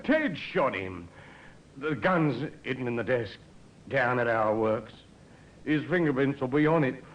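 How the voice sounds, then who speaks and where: An actor playing a defensive crooked mechanic in an old radio crime drama, with an English accent and colloquial, stammering speech. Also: male voice, mid-range voice, lively intonation, a little slow, lo-fi sound. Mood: frantic pleading. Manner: colloquial, stammering under pressure. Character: defensive crooked car mechanic, blaming his partner.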